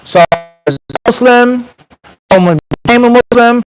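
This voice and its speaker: A man in his thirties with an American accent, giving an animated, conversational lecture in a mosque and reciting Arabic passages. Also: extremely high pitch, normal speed, over loud music, poor audio. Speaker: mufti teaching a class. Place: small mosque room with bookshelves. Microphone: handheld mic close to mouth.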